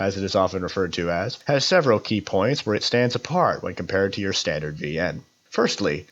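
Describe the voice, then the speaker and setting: A man with a fairly low voice delivers a prepared review. His wording is formal and his delivery is measured, like a narrated segment on a hobby podcast. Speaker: podcast contributor giving a review. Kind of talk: scripted narration, visual novel review.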